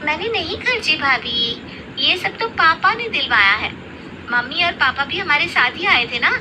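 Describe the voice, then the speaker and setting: Spoiled rich younger sister-in-law for a story drama, female performer: high voice, showy, accusing, noisy recording. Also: animated, moderate pace. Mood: boastful. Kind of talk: Hindi moral story acting.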